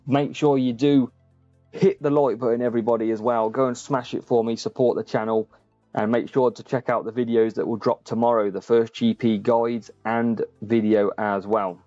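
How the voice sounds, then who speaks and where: A man's voice: mid-range voice, level tone, moderate pace, dark tone, no echo. A man casually commentating on a live gaming stream, chatting to viewers and addressing them by name. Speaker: streamer and host of a gaming channel. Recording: muffled lo-fi sound, music behind. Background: faint background music.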